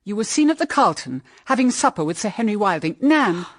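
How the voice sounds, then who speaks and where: Stern English mother, expressive. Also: medium pitch. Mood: grave reproach. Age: middle-aged. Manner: measured, grave, firm. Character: stern, anxious middle-aged English mother. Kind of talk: BBC radio drama acting.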